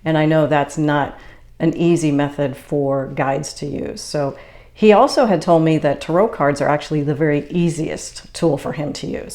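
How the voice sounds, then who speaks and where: A woman with a notably low-pitched voice talks in a calm, unhurried way, as if chatting to viewers about her own experiences. She is from the Boston area and speaks as a spiritual channeler hosting her own video. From Boston area, USA. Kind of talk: casual explanatory monologue.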